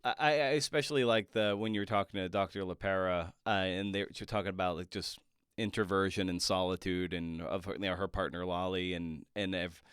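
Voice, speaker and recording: A man hosting a podcast in casual interview chat, speaking in a halting, thoughtful way as he circles toward his point. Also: sits low, fairly even intonation, a bit bright, clear, resonant tone, dry recording, studio quality. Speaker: podcast host.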